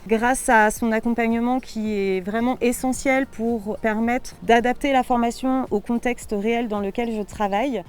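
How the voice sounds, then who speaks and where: A woman giving a prepared, measured testimonial as a programme coordinator. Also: on the high side, fairly even intonation, smooth, far from the mic. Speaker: local water and agriculture programme coordinator.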